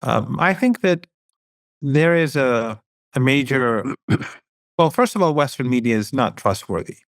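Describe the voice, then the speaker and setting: A middle-aged man, a professor and interview guest, talking in a measured way with some fillers. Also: mid-range voice, animated, dry recording, clean studio sound. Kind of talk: casual interview answers.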